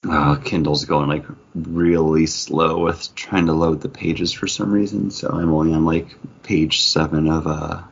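A man's voice: very deep voice, flat delivery, unhurried, vocal fry, hardly any pauses, husky. A man co-hosting a podcast, chatting casually about comics, rambling with lots of fillers and restarts. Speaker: podcast co-host. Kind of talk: casual comic review chat. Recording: dull lo-fi podcast audio.

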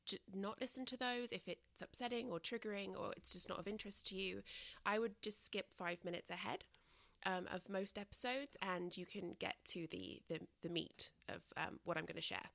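A woman's voice: mid-range voice, normal speed, vocal fry, fairly bright tone, no room sound. Chatty congested British-raised woman podcast host. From Britain. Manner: chatty and candid, many ums and self-corrections. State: congested, a little croaky. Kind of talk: casual solo podcast monologue.

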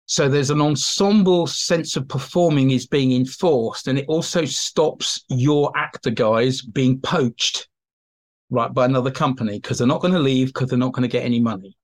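A man's voice: medium pitch, normal speed. A man speaking as an expert guest in an interview, explaining history in a chatty, informal way and slipping into acted-out asides.

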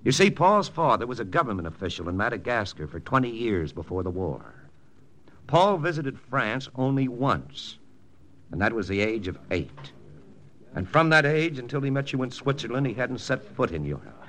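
An actor playing a confident American army intelligence lieutenant in a 1940s radio drama, brisk, persuasive and matter-of-fact. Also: male voice, medium pitch, very animated, a bit bright. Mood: persuasive, firm. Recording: old radio broadcast with music.

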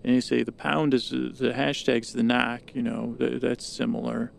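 A man's voice: mid-range voice, little pitch movement, moderate pace, vocal fry, a little hiss or hum. A man hosting a podcast, improvising a meandering monologue that halts, repeats itself and trails off. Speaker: podcast host. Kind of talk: rambling improvised bedtime story monologue.

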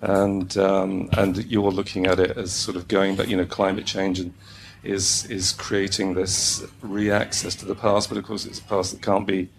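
Creaky low-voiced man, hesitant questioner. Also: level tone, moderate pace, few pauses, a little hiss or hum. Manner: hesitant, discursive. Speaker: audience member asking a question. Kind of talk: rambling conversational question.

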